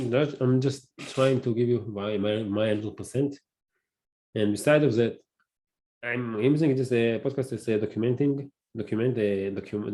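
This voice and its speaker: Hebrew-accented guy talking to camera for his podcast, lowish warm voice, lots of restarts. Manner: halting, searching for words, frequent restarts. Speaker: podcast host. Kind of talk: casual solo podcast to camera.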